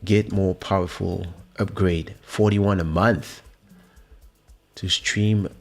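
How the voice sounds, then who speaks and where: A man with a very low voice hosts a casual livestream, talking slowly and thinking aloud. He pauses often and mutters along, close to the microphone, with some music playing behind him. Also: fairly even intonation. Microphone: close podcast microphone.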